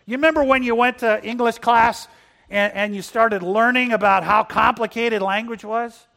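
A man's voice: very high voice, steady tone, creaky, pushed and bright. A man preaching a Sunday sermon whose first language is Spanish, reading scripture and asking the congregation questions. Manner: asks the congregation questions and slows for emphasis. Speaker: pastor.

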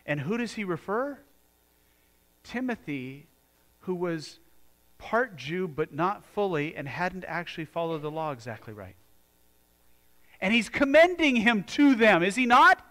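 An American man preaches in a church in an earnest, conversational way. His voice sits a bit higher than most men's, and he often trips over and restarts his sentences. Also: lively intonation, measured pace, pauses often, fairly bright tone. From United States. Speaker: pastor. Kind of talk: sermon.

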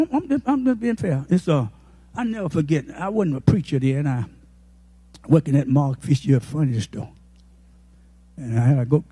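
A man in his eighties, a pastor, preaching a folksy, impassioned sermon that rises to shouted peaks. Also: on the high side, breathy edge. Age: 80s.

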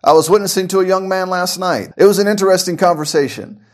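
A man's voice: high voice, fast talker. A man preaching an informal sermon, earnest and conversational, often repeating words as he restarts his sentences. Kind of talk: conversational radio-style sermon.

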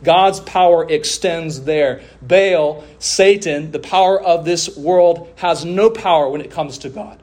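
A man delivering a sermon as a preacher, with emphatic oratory, deliberate pauses, drawn-out phrases and rhetorical refrains. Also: a little high, darkish tone. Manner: rhetorical questions and repeated refrains.

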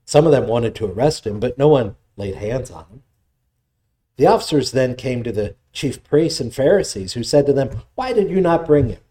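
A man preaching, reading scripture aloud, praying, then explaining the passage in a measured, teaching way. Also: lively intonation, dark tone. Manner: measured expository preaching, voice drops low in prayer. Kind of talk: sermon with scripture reading and prayer.

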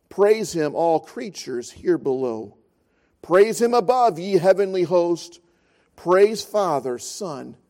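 High-voiced pastor preaching. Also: male voice, mellow. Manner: storytelling, earnest, repeats phrases for emphasis. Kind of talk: sermon.

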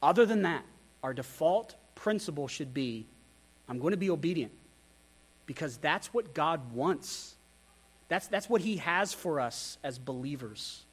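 A man with a notably high voice delivering a sermon. He talks with candor and a self-deprecating streak, and he keeps asking his listeners rhetorical questions. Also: expressive, a little slow. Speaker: pastor.

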